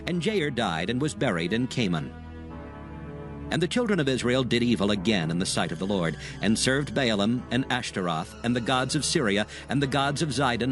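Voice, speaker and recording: A man narrating a dramatized Bible in a solemn, measured storytelling style. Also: medium pitch. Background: background music.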